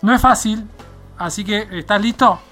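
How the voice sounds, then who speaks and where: A woman with a Buenos Aires accent co-hosting a casual radio interview in an informal, slightly halting way. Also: medium pitch, a bit bright, faint music behind. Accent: Argentine, Buenos Aires. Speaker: radio show co-host.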